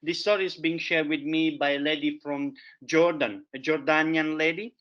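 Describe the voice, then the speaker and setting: A man's voice: fairly high pitch, steady tone, normal speed. A man teaching about wild edible plants in a casual, enthusiastic show-and-tell way. He speaks in loose, chatty phrasing full of fillers and often repeats himself. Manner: enthusiastic, chatty, repeats "there you have it"; loose non-native phrasing with many fillers. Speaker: foraging educator. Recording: dull lo-fi recording.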